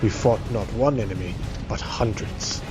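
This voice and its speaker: A man's voice: lowish voice, moderate pace, close to an everyday voice, rough recording. A man playing a solemn heroic storyteller, narrating a motion comic in a scripted, dramatic style. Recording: loud music behind.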